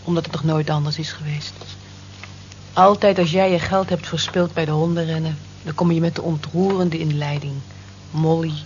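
Low-voiced, kindly working woman played by an actress. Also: fairly even intonation, moderate pace, darkish tone, close to an everyday voice. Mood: resigned, reproachful. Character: Molly, kind, long-suffering working-class cleaning woman. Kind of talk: Dutch radio drama acting. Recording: muffled, lightly noisy old radio recording.

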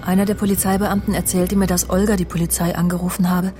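A woman's voice: on the low side, flat delivery, fast talker, mellow, slight background noise, close to an everyday voice. An actress playing a vain, self-assured woman journalist in a radio crime drama, confiding and imperious as she justifies herself. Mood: indignant, self-justifying.

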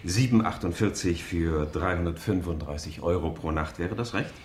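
A man's voice: low voice, normal speed, darkish tone, echoey room, slight background noise, close to an everyday voice. A man playing a courteous, businesslike hotel receptionist in a radio crime comedy. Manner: polite, service-minded.

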